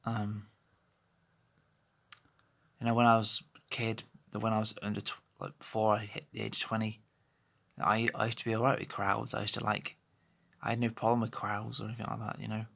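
A man's voice: on the low side, completely flat delivery, brisk, gravelly, long pauses, fairly bright tone. A man in his thirties talking candidly into his phone in a small bedroom, hesitant and rambling with many restarts. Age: 30s. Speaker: vlogger. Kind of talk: candid personal vlog monologue. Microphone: phone held close to face.

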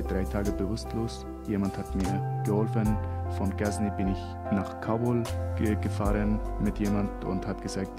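A young man from Afghanistan whose first language is Dari, speaking German slowly and haltingly with an Afghan accent in a small radio studio. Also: on the low side. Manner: slow, halting speech with broken grammar. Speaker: interviewee. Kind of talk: interview answers. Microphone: studio mic on a boom arm.

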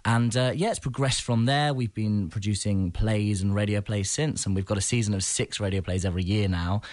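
A man's voice: on the low side, fairly quick, a little mellow, no echo. A young man with an English accent, answering casually as a guest in a radio interview. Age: young adult. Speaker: theatre director, interview guest. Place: radio studio.

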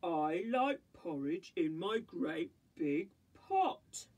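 A woman voicing a big male father bear in a sung children's rhyme, slow and booming. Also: very animated, a little mellow, husky, far from the mic, full character voice. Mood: hearty. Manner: slow, booming sing-song.

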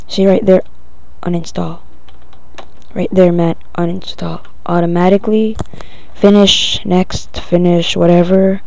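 Casual tutorial presenter, female-sounding, monotone and improvised, lo-fi muffled home recording. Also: medium pitch, vocal fry, no echo. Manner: halting, improvised step-by-step instructions.

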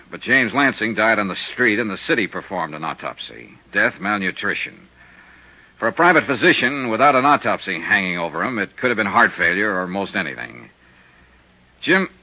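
Hard-boiled, husky radio investigator. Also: male voice, on the low side. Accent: American. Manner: clipped, insistent, hard-boiled. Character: brisk, hard-nosed male freelance insurance investigator. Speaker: lead actor and narrator. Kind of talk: 1950s radio detective drama acting. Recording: dull, muffled 1950s radio recording.

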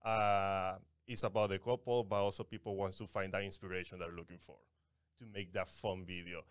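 A man from Venezuela with a Venezuelan Spanish accent, a wedding content creator guesting on a podcast, speaking halting English with restarts on a studio mic. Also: low-pitched, little pitch movement, clear, resonant tone, no room sound. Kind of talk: casual podcast interview. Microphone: studio mic on boom arm, headphones.

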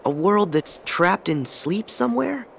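A man's voice: high voice, lively intonation, a little slow, gravelly, slight background noise, exaggerated voice. A young man voicing an eager, kind teenage boy hero who talks earnestly and asks questions. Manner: earnest, inquisitive. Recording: game audio with music behind.

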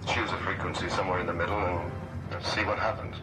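An actor playing a grumpy, commanding spaceship captain in a science-fiction radio drama, brusque and authoritative. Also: male voice, deep voice, flat delivery, fast, natural voice, low-quality recording. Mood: brisk, commanding.

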